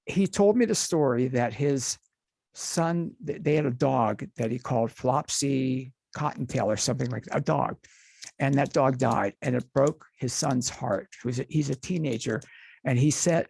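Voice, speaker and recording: An older man hosting a casual interview, rambling warmly with halting restarts and apologies. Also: mid-range voice, gravelly, breathy edge, no room sound. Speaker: podcast host.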